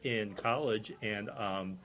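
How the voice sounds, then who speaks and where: A man in casual comic banter as a panel contestant, speaking in a halting, hesitant way. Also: lowish voice, fairly bright tone. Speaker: comedy panelist playing a student.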